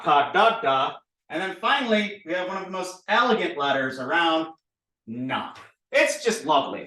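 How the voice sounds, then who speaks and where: A man teaching at a board, animated and drilling sounds by repetition. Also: a little high, echoey room, far from the mic. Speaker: language teacher. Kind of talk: recorded board lesson. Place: indoor room with a board.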